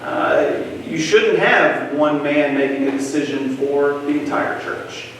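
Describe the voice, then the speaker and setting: Brief: young male preacher, slightly high voice, relaxed and hesitant teaching, long hall echo. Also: a little hiss or hum. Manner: casual, halting, many "uh" fillers. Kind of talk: Bible class teaching. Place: church meeting room. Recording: echoey church hall, far from mic.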